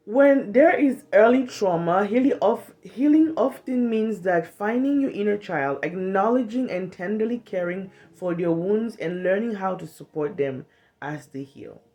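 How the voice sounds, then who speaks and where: An animated woman in her thirties from Cameroon talks straight to her phone camera in a small bedroom. She is frank and blunt, swears freely, and now and then acts out short quoted lines in other voices. The sound is close and casual, like a phone held at arm's length. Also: mid-range voice, unhurried, lo-fi sound. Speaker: vlogger. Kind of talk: casual talk to camera on trauma healing.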